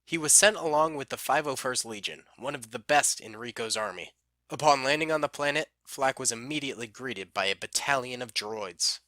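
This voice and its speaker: A man reading a scripted war story as narrator, in a steady storybook manner. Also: medium pitch, normal speed, dry recording.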